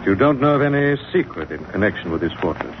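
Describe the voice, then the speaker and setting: An actor playing a suave, probing gentleman sleuth with a crisp, authoritative manner, in 1940s radio style. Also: low voice, very animated, fast. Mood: composed, probing. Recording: muffled, very noisy old radio recording.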